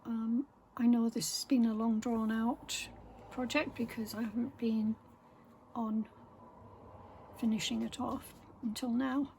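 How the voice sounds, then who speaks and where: Slow, soft-spoken croaky woman. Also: a little high, little pitch movement, slight background noise. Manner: soft-spoken, rambling, many pauses and fillers, often half-whispered. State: croaky voice, sore throat. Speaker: craft vlogger. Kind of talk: casual chat while stitching. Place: home room with window open.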